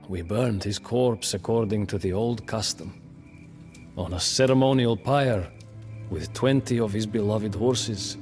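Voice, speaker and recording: A man's voice: on the low side, darkish tone, close to an everyday voice. An actor playing a proud, bitter medieval Lithuanian prince who narrates his story theatrically in a video game cutscene. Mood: somber, brooding. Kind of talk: dramatic scripted historical narration in character.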